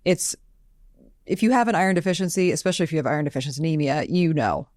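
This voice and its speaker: A woman's voice: low voice, animated, racing, darkish tone, no room sound, clean studio sound. An American woman co-hosting a health Q&A podcast, chatty and explanatory in a conversational style. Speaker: podcast co-host and nutritional therapy practitioner.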